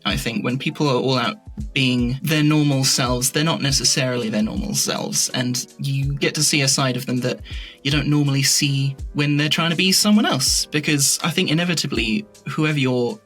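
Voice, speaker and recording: A man with a bright, forward voice at a middling pitch talks as a guest in a relaxed interview. He is informal and reflective, often rewording a thought halfway through. Faint music sits behind him. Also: moderate pace. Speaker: podcast guest, fantasy audio drama creator. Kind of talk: casual interview chat about creative work.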